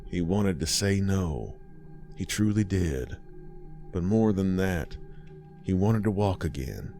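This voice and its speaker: A man narrating a horror story in a measured, ominous way. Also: low voice, expressive, warm tone. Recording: music bed behind.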